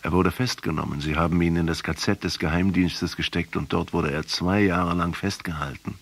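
A man acting in a radio drama as an earnest, principled Argentine professor who argues deliberately and accusingly. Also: deep voice, steady tone. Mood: sombre, recounting. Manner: deliberate, argumentative. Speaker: radio play actor.

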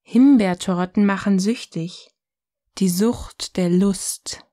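An actress plays a self-assured, provocative woman in a philosophical audio drama. Her mid-pitched voice is poised and deliberate, delivering each line as a declaration. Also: warm tone, lightly acted. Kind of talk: scripted audio-novel dialogue.

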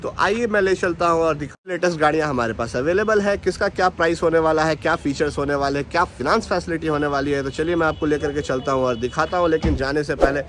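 A man's voice: fast talker, hardly any pauses. A man in his thirties presenting a used car dealership to viewers, speaking in an upbeat salesman-like way, filmed outdoors on a phone at arm's length. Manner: energetic, salesman-like pitch to viewers. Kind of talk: upbeat promotional intro. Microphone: phone held at arm's length. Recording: outdoor noise from a car lot.

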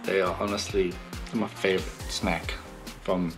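A man in his thirties from South Africa with a softened South African accent, filming a casual video diary on a phone held at arm's length. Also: low voice, a little slow, over music. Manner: relaxed, matter-of-fact self-filming. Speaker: professional baseball pitcher vlogging. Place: hotel room and city street. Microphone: phone camera at arm's length.

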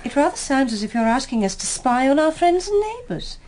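A sharp-witted British wife in a radio drama. Her voice is fairly high and very animated in pitch. She questions pointedly and warily, with slight noise behind her. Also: brisk. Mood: sceptical.

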